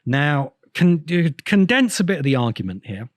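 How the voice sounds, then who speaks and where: A man giving informal, intellectual commentary as an essay host, thinking aloud with pauses and repeated words as he explains. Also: a little high, sing-song, dry recording, studio quality. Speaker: host of a science fiction video essay channel. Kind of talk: informal spoken commentary and analysis.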